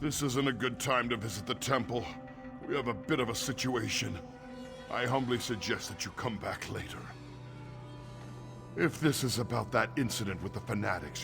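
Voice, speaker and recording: Casting: male actor as nervous, courteous orc temple attendant, creaky mid-pitched voice, fantasy game scene over music. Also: close to an everyday voice. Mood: uneasy, evasive.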